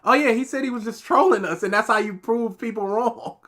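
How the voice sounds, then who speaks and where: A woman's voice: on the high side, fairly quick, fairly bright tone. A woman with a light, nasal voice co-hosting a casual reaction commentary, arguing her points and getting exasperated. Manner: argumentative, gets exasperated. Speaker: co-host reacting to a video.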